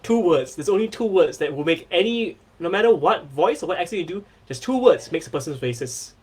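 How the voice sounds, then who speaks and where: A man with a Singaporean English accent hosting a casual, comedic podcast chat, animated, swearing freely and laughing often. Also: sits high, a little fast, slight background noise. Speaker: podcast host.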